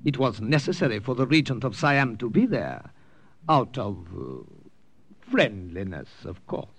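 An actor playing a formal, courteous Siamese major in a 1940s radio drama, with precise and polite phrasing. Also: male voice, mid-range voice, sing-song, measured pace. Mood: polite, measured. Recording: noisy old radio drama recording.

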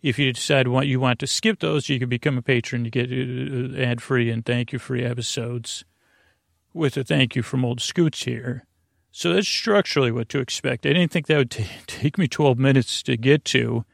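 A middle-aged American man with a gravelly voice, hosting a sleep podcast in a halting, rambling, soothing monologue that often trails off mid-thought. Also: mid-range voice, dry recording, studio quality. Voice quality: gravelly, creaky. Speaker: bedtime podcast host.

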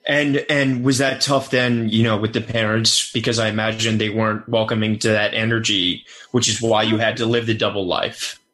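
A man's voice: lowish voice, flat delivery, moderate pace, few pauses, fairly bright tone. A man interviewing a guest, encouraging her to tell her story and laughing along often. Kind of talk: conversational interview.

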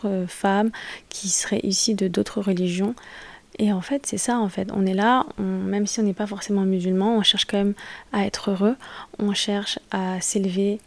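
A young woman in her late twenties talks into a podcast microphone in a loose solo monologue. She is spontaneous, hesitates often, and keeps restarting her sentences. Her voice sits in an ordinary middle pitch. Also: a little mellow. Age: late 20s. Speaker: podcast host.

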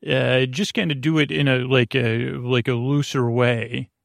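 Creaky-voiced man rambling through a bedtime monologue. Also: mid-range voice, fairly even intonation, no echo, clean studio sound. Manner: meandering, halting, self-interrupting. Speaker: podcast host.

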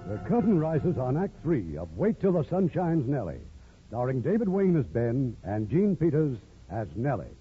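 A man's voice: medium pitch, very animated, moderate pace, narrow, tinny audio. A man announcing formally as a 1950s radio show announcer. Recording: thin old radio with music.

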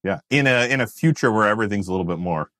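An American man co-hosting a podcast, quick and joking as he trades short replies. His voice is fairly low but swings widely in pitch, and it is picked up dry and close. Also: expressive, a bit bright, no echo. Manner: quick back-and-forth, joking, many short agreements. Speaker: podcast co-host. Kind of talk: casual movie review chat.

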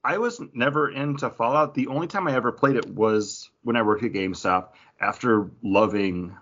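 A man's voice: mid-range voice, normal speed, fairly bright tone. A man co-hosting a podcast in casual, conversational pop-culture chat. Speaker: podcast co-host. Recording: dull lo-fi podcast audio.

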